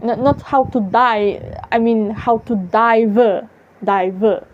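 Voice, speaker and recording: A woman with a Serbian accent musing aloud about life in a halting, self-correcting way, swearing casually now and then. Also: on the high side, background noise. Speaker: vlogger. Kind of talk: rambling philosophical musing. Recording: muffled lo-fi audio.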